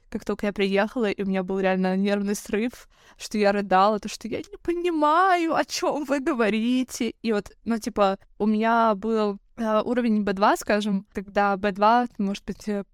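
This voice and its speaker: A woman hosting a podcast in a casual chat, chatty and quick to laugh and jump in. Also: mid-range voice, clear, resonant tone, no echo, clean studio sound. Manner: chatty, laughs often, jumps in. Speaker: podcast host.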